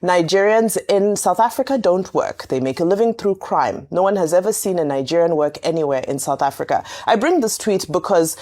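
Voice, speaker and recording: A woman's voice: on the low side, lively intonation, a little fast, few pauses, darkish tone. A woman presenting a TV news show, reading reports and viewer reactions in broadcast style. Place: TV studio.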